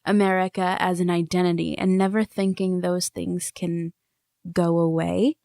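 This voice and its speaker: A woman's voice: lowish voice, level tone, moderate pace, gravelly, dark tone, dry recording, clean studio sound. A woman interviewing on a podcast, thinking aloud earnestly in casual, reflective discussion.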